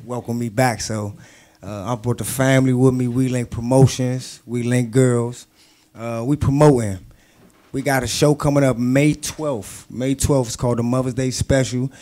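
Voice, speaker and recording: A man's voice: medium pitch, level tone, normal speed, warm tone. A man speaking as a guest promoter on a casual show, laid-back and repeating himself. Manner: laid-back, repeats "you know what I'm saying". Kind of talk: casual talk-show interview.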